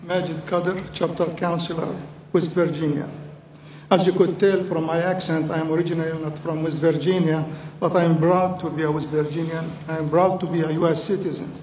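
A man's voice: fairly high pitch, flat delivery, a little fast, darkish tone, slight background noise. A man from the Middle East, a chapter councilor, giving an earnest public comment at a floor microphone in a large meeting hall, in slightly non-standard English. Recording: echoey hall, distant mic.

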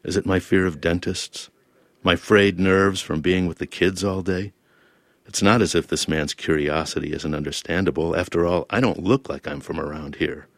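A very deep-voiced man with a gravelly rasp, reading his own essay and then talking it through in interview. He is thoughtful and wry, and the sound is close and dry. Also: moderate pace, no room sound. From Lebanon-born, raised in Toledo, Ohio. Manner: thoughtful, wry and self-questioning. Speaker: author and interview guest.

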